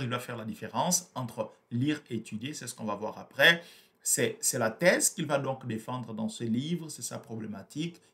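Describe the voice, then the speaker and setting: A man's voice: mid-range voice, fairly bright tone. Hesitant man with a Portuguese mother tongue. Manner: hesitant, repeats words, frequent fillers. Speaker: book reviewer. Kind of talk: explanatory book review talk.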